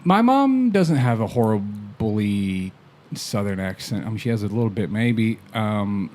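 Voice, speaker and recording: A man's voice: fairly low pitch, darkish tone, slight background noise. A man chatting casually on a podcast, telling laid-back stories, swearing now and then and chuckling often. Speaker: podcast guest. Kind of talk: casual chat. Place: podcast recording in Canada.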